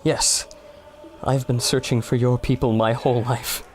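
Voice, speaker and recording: An actor playing an earnest, wonder-struck scholar and adventurer in an audio drama, speaking articulately. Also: male voice, mid-range voice, level tone, unhurried, a little hiss or hum, close to an everyday voice. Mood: reverent awe.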